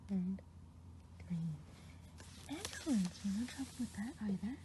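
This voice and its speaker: A woman voicing a kindly good witch in an ASMR roleplay, whispering softly with patient, encouraging praise. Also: mid-range voice, big pitch swings, measured pace, noisy background. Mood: gentle.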